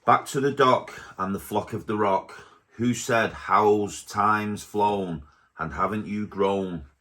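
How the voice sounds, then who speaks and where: Slow, low-voiced dad reading a storybook. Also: male voice, sharp tone. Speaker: father reading to his baby. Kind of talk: reading a picture book aloud.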